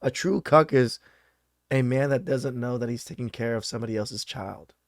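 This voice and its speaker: A man's voice: medium pitch, normal speed, dry recording, studio quality. A man co-hosting a podcast, blunt and dismissive as he explains his view.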